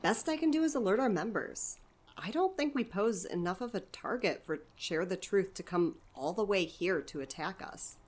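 Actress as enthusiastic female center director, mid-pitched and very animated, pitching ideas in an audio drama. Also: moderate pace, close to an everyday voice. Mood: assured. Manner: expansive, persuasive, eager to pitch ideas. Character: chatty, enthusiastic woman running a community center.

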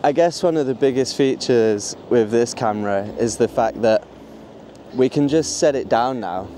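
A man's voice: mid-range voice, moderate pace, dark tone, a little hiss or hum. A young man vlogging outdoors on a rocky beach with a clip-on mic, eager and rambling, laughing at himself. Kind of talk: excited casual vlog. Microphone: small clip-on mic.

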